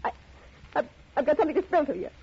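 An actress playing a brash, slangy, tough-talking dame in an old radio crime drama, defiant and scolding. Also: female voice, high voice, very animated, fast, gravelly, a little mellow, full character voice. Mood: sly, taunting. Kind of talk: 1950s radio drama acting. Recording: dull old radio recording.

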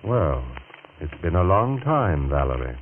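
An actor playing a measured, authoritative doctor with a bitter, pointed edge in a 1940s radio drama. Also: male voice, deep voice, sing-song. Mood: cool, wry. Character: smooth-talking, bitter doctor, a jilted former suitor. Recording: scratchy, narrow-band 1940s radio recording.

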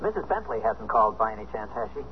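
An actor playing an awkward, stubborn husband in a 1970s radio comedy drama. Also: male voice, fairly low pitch, sing-song, quick pace. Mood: awkward, probing. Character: flustered, stubborn husband newly split from his wife. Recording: dull, noisy 1970s radio broadcast.

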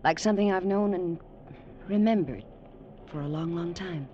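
An actress playing an alluring, secretive femme fatale in a vintage radio drama, speaking in a soft, wistful, coy manner. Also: female voice, on the low side, moderate pace, close to an everyday voice. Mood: dreamy, wistful. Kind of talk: 1940s-50s radio drama acting. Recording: dull old radio, faint hiss.

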